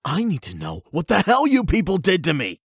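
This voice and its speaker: A man acting as a hot-headed young delinquent in an audio roleplay, gruff, blunt, confrontational and suspicious. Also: high-pitched, wildly swooping pitch, brisk, no echo, studio quality, voice pushed up, heavily acted. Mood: angry, accusing.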